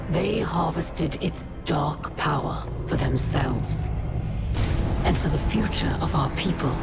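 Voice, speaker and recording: A woman playing a bitter alien pirate captain in a video game, telling history in a solemn, ominous and accusing way. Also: low voice. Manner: solemn, deliberate, ominous storytelling. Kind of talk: dramatic scripted game narration. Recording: loud music behind the voice.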